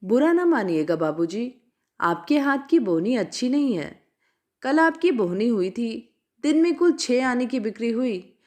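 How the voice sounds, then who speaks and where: An apologetic Indian woman shopkeeper selling betel leaf, as a female storyteller puts her on. She is superstitious and pleads deferentially in a fairly high, animated voice, as a character in a storybook tale. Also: measured pace. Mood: apologetic, explaining.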